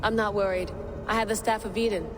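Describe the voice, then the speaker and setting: A woman's voice: medium pitch, fairly even intonation, brisk, music in the background, close to an everyday voice. An actress playing a determined, guilt-ridden young woman in a video game cutscene. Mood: resolute.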